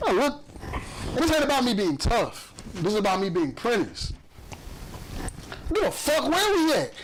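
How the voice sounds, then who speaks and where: A young man with a Chicago accent talks on his own podcast, his voice sitting fairly high. He boasts and swears freely, filling gaps with "you know what I'm saying." Faint noise and clicks sit under the recording. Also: a bit bright, rough recording. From south side of Chicago. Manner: loose, profane, boastful, many fillers. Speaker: podcast host. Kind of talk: casual chat.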